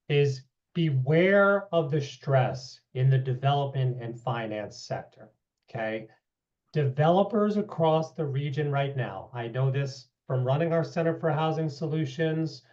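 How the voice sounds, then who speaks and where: A slightly hoarse man giving a public meeting presentation, walking through figures with frequent asides of "okay" and "you know". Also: medium pitch, darkish tone. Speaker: housing policy presenter. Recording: dull lo-fi meeting audio.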